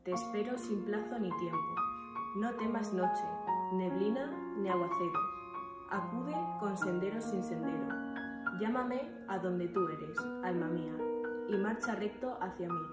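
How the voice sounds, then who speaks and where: A woman's voice: medium pitch, measured pace. A woman reciting love poetry in a recital style. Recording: echoey, distant mic, loud piano backing.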